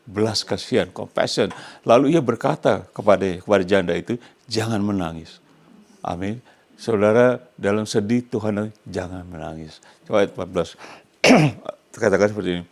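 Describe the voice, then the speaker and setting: A man's voice: on the low side, brisk, creaky. Older indonesian guy, pastor giving a sermon, emphatic, keeps tossing questions out to the congregation. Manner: emphatic, asks the congregation questions to complete his phrases.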